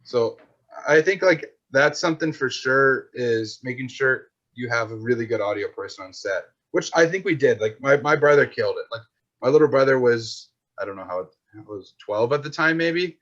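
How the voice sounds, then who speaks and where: Young guy, 20s, loose slangy chatter peppered with filler, mid-pitched, slightly muffled lo-fi mic. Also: animated, moderate pace, fairly bright tone. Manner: rambling, slangy, full of "like" and "dude". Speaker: podcast guest, filmmaker. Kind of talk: casual chat. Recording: dull lo-fi sound.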